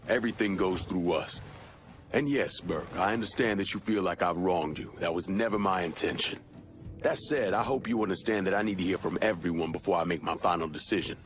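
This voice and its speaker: Commanding American gang leader man acting, very low voice, measured and firm, game drama with clear music underneath. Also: natural voice. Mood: firm, conciliatory. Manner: deliberate, diplomatic, firm. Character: commanding, measured male crime boss.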